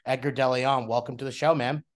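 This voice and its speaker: A man's voice: medium pitch, fairly even intonation, brisk. Young male podcast host, casual and rambling, easygoing interviewer. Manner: friendly, rambling, many fillers and restarts.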